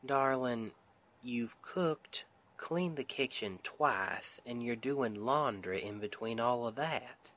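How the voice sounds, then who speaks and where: Man acting a slow-talking, tender Southern husband. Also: mid-range voice, expressive, a bit bright. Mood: concerned, gentle. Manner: folksy, tender, unhurried, soothing. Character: sweet, protective, gently stubborn Southern husband. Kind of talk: intimate ASMR roleplay acting.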